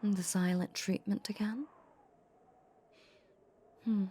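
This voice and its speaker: A woman playing a possessive, seductive vampire in a roleplay audio drama, teasing her captive with menacing sweetness in a breathy near-whisper. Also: mid-range voice, steady tone, slow, warm tone. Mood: amused, possessive.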